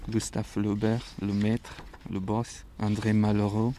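Young man, French not his mother tongue, emotional and reflective, halting delivery. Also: on the low side, level tone, warm tone, a little hiss or hum. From Afghanistan. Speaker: interviewee. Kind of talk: personal testimony.

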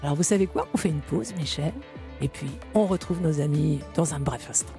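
A woman hosting a radio interview, asking polished questions with drawn-out fillers. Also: low voice, gravelly, soft background music. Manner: polished, warm leading questions, stretched fillers. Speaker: radio host.

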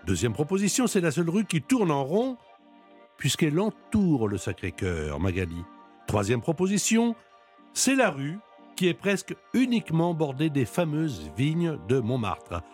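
A man hosting a chat and quiz show, speaking in a measured way and putting stress on each answer option. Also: on the high side, very animated, a little mellow, faint music behind. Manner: measured, plays up quiz options with rising emphasis. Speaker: radio quiz show host. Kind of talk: hosted interview and quiz.

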